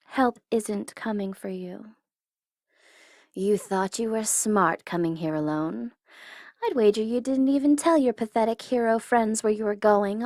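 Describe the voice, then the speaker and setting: A woman's voice: on the high side, dry recording, studio quality. A woman playing a taunting, coy and commanding supervillain who is secretly smitten, in an ASMR audio roleplay. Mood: weary, mocking. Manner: taunting, coy, commanding, with drawn-out deliberate phrasing. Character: teasing, menacing tsundere female supervillain, secretly smitten.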